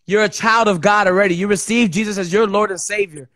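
A man's voice: sits high, fairly even intonation, fast, a bit bright, dry recording, clean studio sound. A man hosting, speaking in fervent, emphatic preacher style as he prays and exhorts over a guest. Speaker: host praying over a guest. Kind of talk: fervent impromptu prayer and exhortation.

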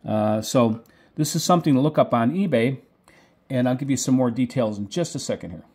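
A man's voice: mid-range voice, a little mellow, husky. Male hobbyist host, mature, unscripted and conversational, tentative delivery that often doubles back to correct itself. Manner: halting, self-correcting, tentative. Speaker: video host, radio hobbyist. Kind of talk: casual product talk, unscripted explaining.

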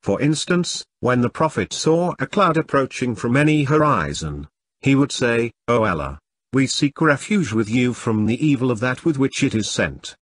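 A man's voice: mid-range voice, animated, no echo. Man reading a formal sermon, dry sound. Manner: measured, formal delivery of a written sermon. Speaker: preacher. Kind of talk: Friday sermon read in English translation.

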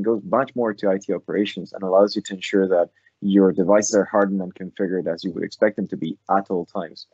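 A man's voice: sits low, darkish tone, breathy edge, no room sound, lo-fi sound. A man with a Scottish accent co-presenting a technical webinar, summarizing things in structured, numbered points.

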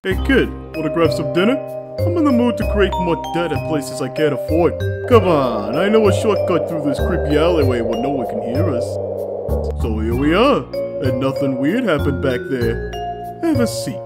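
A man voicing a wry, easygoing skeleton big brother in an amateur comic dub, casual and deadpan. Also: fairly high pitch, normal speed, over loud music. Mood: casual, joking.

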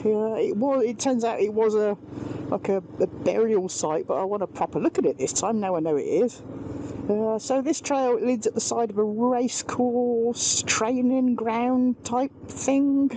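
A woman's voice: fairly high pitch, warm tone, a little hiss or hum, poor audio. A British woman giving casual, chatty, self-deprecating commentary through a helmet mic as she rides a motorbike outdoors. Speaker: motorbike vlogger. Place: outdoors, riding a motorbike on country trails.

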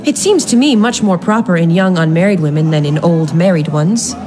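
A woman's voice: on the low side, a little fast, lightly acted. An actress playing a teasing, headstrong young American woman in a radio drama. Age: young adult. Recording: music under the dialogue.

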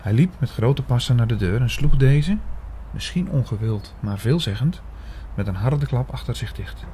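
A man playing a puzzled, suspicious investigator who narrates his story in a measured, reflective way in an audio drama. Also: mid-range voice, lively intonation, heavy background noise, lightly acted. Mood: reflective, doubtful. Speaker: narrator and lead actor.

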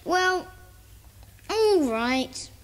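Slow, high-voiced dreamy British schoolboy. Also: female voice, animated, exaggerated voice. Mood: bashful. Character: shy, dreamy schoolboy poet.

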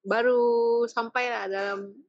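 A woman's voice: fairly high pitch, steady tone, a little slow, no echo. A woman with a Malaysian accent chatting casually as an audience member on a call. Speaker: audience member, quiz winner. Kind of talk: casual chat. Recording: dull lo-fi video-call audio.

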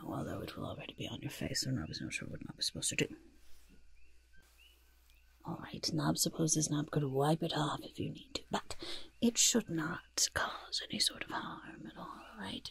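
A young woman voicing a fussy, sly, reassuring goblin in a soft-spoken ASMR roleplay, breathy and whispery, repeating soothing little phrases close to the microphone. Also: lowish voice, heavily acted. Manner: speaks of self in third person, repetitive soothing patter.

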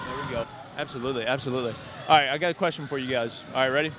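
A man's voice: medium pitch, fairly even intonation, racing, bright, forceful tone. A young man reporting from a gym, interviewing kids with a handheld mic in an upbeat, encouraging way. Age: young adult. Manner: upbeat, encouraging, repeats short affirmations. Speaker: TV sports reporter. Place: large indoor gym. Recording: noisy gym with bouncing balls.

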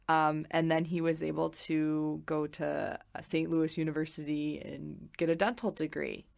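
A woman's voice: sits low, creaky. A woman, a podcast guest, fondly and reflectively recalling a family member in casual chat. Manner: fond, reflective. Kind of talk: casual storytelling.

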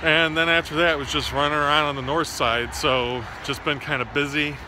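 A man's voice: a little high, fairly even intonation, fairly quick, background noise. A man in his thirties recording a casual video diary on a phone held at arm's length. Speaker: vlogger. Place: outdoors on city residential streets.

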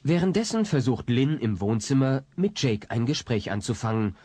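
A man's voice: medium pitch, very animated, normal speed, dark tone, no room sound. A man narrating a sitcom audio drama from a script in a wry way.

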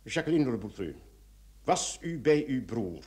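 An actor playing a brisk, authoritative police commissioner in a radio play, with clipped questioning. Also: male voice, mid-range voice, big pitch swings, darkish tone. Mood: stern.

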